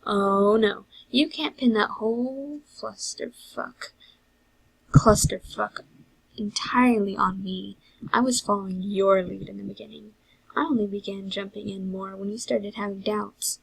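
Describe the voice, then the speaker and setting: Girl doing a bitter sarcastic taunting character, slow n husky, mocking, fan drama. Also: mid-range voice, no echo, rough recording. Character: sardonic, foul-mouthed, bitter, taunting inner companion. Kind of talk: amateur fan audio drama monologue.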